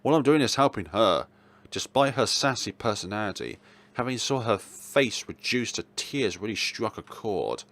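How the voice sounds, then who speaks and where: A young man reading a visual novel aloud as a let's player, in a theatrical, expressive way. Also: on the low side, normal speed. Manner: theatrical, expressive, with playful asides. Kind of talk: dramatic read-aloud narration of a visual novel. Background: game music.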